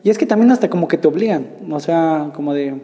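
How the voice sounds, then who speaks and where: A young man chatting casually, relaxed and agreeable, with frequent backchannels. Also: fairly high pitch, quick pace, in a large hall, slight background noise. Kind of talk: casual chat.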